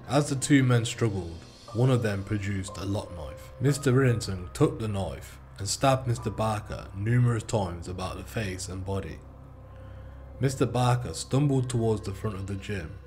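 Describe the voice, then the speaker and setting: Low-voiced British man narrating a crime case. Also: a little mellow. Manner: measured, formal case-report reading. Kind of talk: scripted narration. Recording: faint music bed behind.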